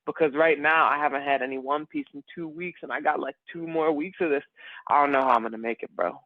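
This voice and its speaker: A man talks in loose, rambling bursts on a podcast, tossing in bro and man as he goes. His voice sits a bit high and sounds dry and close, squeezed into a narrow phone-like band. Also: quick pace, dry recording. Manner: rambling, animated, says bro and man a lot. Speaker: podcast co-host. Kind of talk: casual podcast chat.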